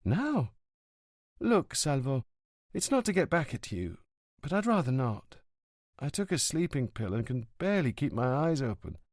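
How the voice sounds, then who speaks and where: A man voicing an anxious, affectionate young woman in an audiobook, raising his voice to a soft, pleading tone. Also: very deep voice, very animated, normal speed, no room sound, clean studio sound, voice pushed up, heavily acted. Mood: tired.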